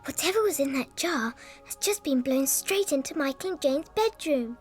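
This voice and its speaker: A very high, childlike British girl's voice, breathy and full of exclamations. She is a curious, easily frightened little orphan in an audiobook drama, with music behind her. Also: lively intonation, normal speed. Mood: anxious.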